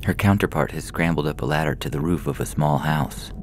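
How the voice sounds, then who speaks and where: A man's voice: extremely low pitch, fairly quick, a little hiss or hum. A man reading a science fiction story aloud as a scripted narration.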